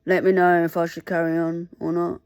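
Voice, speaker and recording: Woman, Mandarin first language, halting and pleading, dry close sound. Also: lowish voice, flat delivery, a little mellow, clear, resonant tone, no room sound. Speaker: vlogger. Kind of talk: casual talk to camera.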